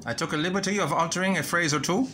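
A man acting a self-assured Russian party official in a historical drama, with a Russian accent and a pointed, deliberate delivery. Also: on the high side, sharp tone, a little hiss or hum. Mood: smooth, defensive. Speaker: voice actor.

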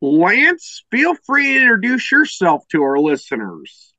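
A man's voice: high-pitched, huge pitch swings, unhurried, bright, forceful tone, no room sound. A man hosting an interview podcast, introducing guests and putting questions to them. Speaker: podcast host. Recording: dull, lo-fi audio.

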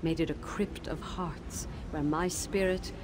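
A sorrowful ghost teacher. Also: female voice, low voice. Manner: sorrowful, measured and grave. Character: weary tormented ghost of a woman teacher. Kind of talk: video game voice acting. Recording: game audio with steady background noise.

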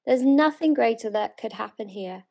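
British female, slightly high voice, as an eager ancient townswoman played by a woman in spoken-word verse; earnest, full of wonder. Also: moderate pace, vocal fry, lo-fi sound. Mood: pleading. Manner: earnest, excited, pleading.

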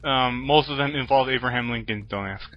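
A man's voice: medium pitch, fairly quick, lo-fi sound. A man doing casual, joking let's play commentary in a sarcastic, wisecracking way. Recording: noisy, with game audio behind. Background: video game ambience and gunfire.

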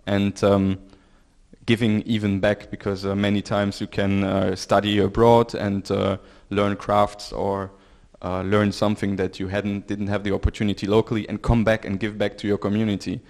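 A young man, speaking in a halting, measured way in a group discussion on a handheld mic. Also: fairly low pitch, level tone, gravelly, a little mellow. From Belgium. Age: young adult. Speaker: panel participant. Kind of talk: discussion contribution. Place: medium indoor room with seated audience. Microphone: handheld microphone.

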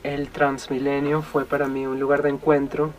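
A young man from Venezuela with a Venezuelan accent, reflecting in a documentary voice-over as a resident artist, slow and measured with frequent pauses. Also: mid-range voice, completely flat delivery, a little hiss or hum. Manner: slow, measured, pausing often.

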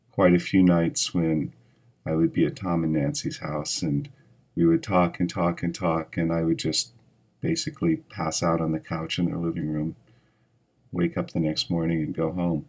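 Male professor, 60s, American accent, low register, measured reminiscing delivery with emphatic openings. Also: level tone, a little mellow. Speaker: philosophy professor. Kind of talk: reminiscence to camera. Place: dim indoor hall with empty seats.

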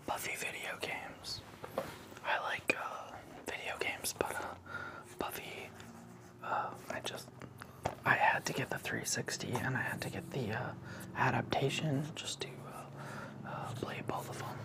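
Whispering, gravelly man doing ASMR. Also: medium pitch, a little slow, pauses often. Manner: hesitant, moving between whisper and soft voice. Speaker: ASMR video host. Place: small furnished room indoors.

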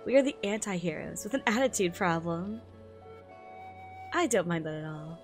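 A woman streamer reading a manga aloud in an expressive, giggly way, with excited reactions in between. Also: on the low side, moderate pace. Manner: expressive, giggly, switches into character voices. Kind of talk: live reaction read-aloud with excited asides. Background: music behind the voice.

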